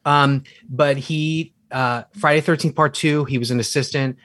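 Male co-host, medium pitch, dry close mic, enthusiastic movie buff. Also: fairly even intonation, moderate pace, fairly bright tone, no room sound. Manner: enthusiastic, lists film credits. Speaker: podcast co-host. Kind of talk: casual movie podcast chat.

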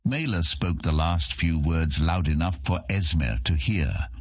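A man narrates a fantasy audiobook in an extremely deep voice. The tone is husky and the recording is very dry and close. It is scripted storytelling. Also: a little slow, no room sound.

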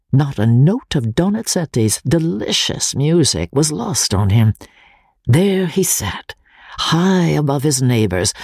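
A narrator giving a dramatic, theatrical reading of classic fiction in measured phrases. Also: mid-range voice, a little mellow, husky, no echo, studio quality. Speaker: audiobook narrator. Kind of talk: dramatic scripted literary narration.

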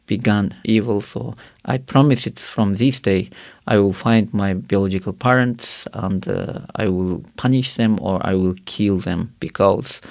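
Gravelly low-voiced man. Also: darkish tone, no echo, clean studio sound. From Karakalpakstan, Uzbekistan. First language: not English. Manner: halting non-native English, earnest, many fillers. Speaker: guest. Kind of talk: personal testimony interview.

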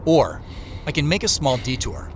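A man's voice: a little high, sing-song, moderate pace, slight background noise. Actor playing a stressed, suspicious man on the run. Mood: brooding. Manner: sarcastic, agitated. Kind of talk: audio drama acting with first-person narration.

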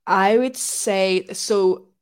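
A woman's voice: medium pitch, drawn-out words, smooth. A woman from Scotland, a podcast guest, chatting casually and reflectively in an interview.

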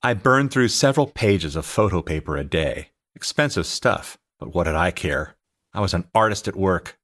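Audiobook narrator: middle-aged American man, deep register, animated delivery, expressive storyteller reading scripted memoir. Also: normal speed. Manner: expressive, anecdotal storytelling, acting out dialogue. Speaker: author and teacher reading his own audiobook.

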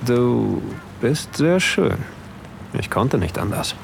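A man playing a tall, confident, charming yacht deckhand in an intimate audio drama, quietly flirtatious and unhurried. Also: mid-range voice, sing-song, vocal fry, slight background noise, heavily acted. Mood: seductive.

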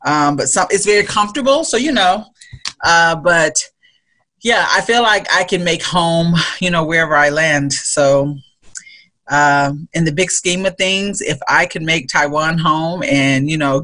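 Bright-voiced woman guest, chatty. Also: deep voice. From Mississippi, USA. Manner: rambling, searching for words. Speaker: podcast guest. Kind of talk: conversational interview answers.

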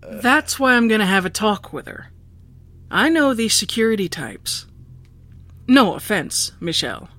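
A woman's voice: medium pitch, big pitch swings, unhurried, sharp tone, no echo. An actress in an audio drama playing a blunt, plain-spoken, tough woman who drills tunnels for a rebellion. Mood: determined.

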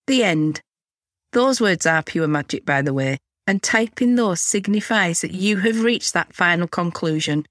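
A woman in her forties hosting a chatty solo podcast, candid and a little cheeky, her voice slightly hoarse from a cold. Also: on the low side, fairly bright tone, dry recording, clean studio sound. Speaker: podcast host.

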